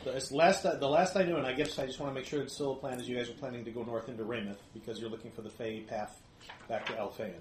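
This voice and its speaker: A man's voice: medium pitch, fairly even intonation, quick pace. Easygoing man running a tabletop game. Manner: easygoing, laughs often. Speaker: game master. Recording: echoey room with faint noise.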